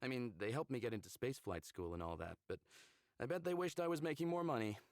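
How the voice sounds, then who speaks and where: A man's voice: mid-range voice, expressive, fast, dry recording, clean studio sound, close to an everyday voice. An actor playing an easygoing, friendly male space pilot in a visual novel game, talking in casual, laid-back phrases. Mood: casual, candid.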